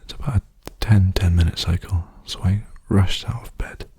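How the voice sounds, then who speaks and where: A young man speaking softly in a hushed, breathy, soothing whisper, rambling casually for an ASMR video. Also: low voice, steady tone, normal speed. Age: young adult.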